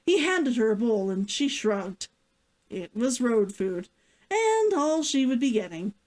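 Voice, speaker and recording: A woman's voice: on the high side, big pitch swings, unhurried, a little mellow. A woman narrating a fiction story aloud as the author hosting a read-along.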